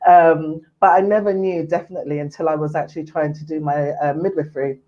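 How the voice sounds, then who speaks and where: Woman, 40s, from Ghana, earnest and unhurried guest, dull lo-fi line. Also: deep voice, steady tone, dark tone. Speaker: mental health services manager, guest. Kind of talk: casual interview chat. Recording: muffled lo-fi audio.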